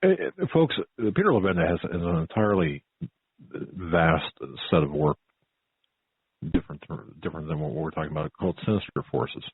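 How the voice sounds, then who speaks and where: A man hosting a conversational radio interview, with a halting, repetitive, folksy and emphatic way of talking. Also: deep voice, expressive, breathy edge. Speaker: talk show host. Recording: phone-like tinny band, roomy echo.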